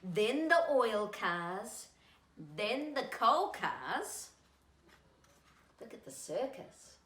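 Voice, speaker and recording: Animated, high-pitched woman reading to children. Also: very slow, roomy sound. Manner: animated, singsong, addressing young children. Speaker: storytime host and children's author.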